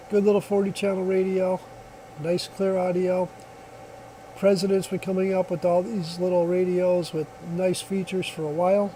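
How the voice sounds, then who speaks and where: A man's voice: high-pitched, dark tone, a little hiss or hum, rough recording. A man giving a casual hands-on product demonstration in a plainspoken, folksy, reassuring way, recorded on a phone.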